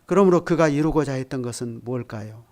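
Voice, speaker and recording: Measured older male pastor preaching. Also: medium pitch, a little mellow. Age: 60s. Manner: measured preaching that swells to emphatic peaks; speaks directly to the congregation with light chuckles. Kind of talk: sermon at a midweek prayer service.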